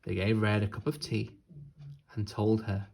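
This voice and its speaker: Guy reading a kids story, real slow w/ dramatic pauses, creaky lowish voice. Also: completely flat delivery, a little mellow, slight background noise. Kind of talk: children's storybook narration.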